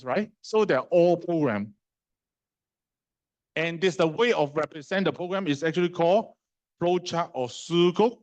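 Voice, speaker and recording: Hong Kong-accented male lecturer, slightly high voice. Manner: conversational, fast and slow in turns, asks the class questions; non-native grammar, fillers and restarts. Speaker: university lecturer. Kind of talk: live lecture.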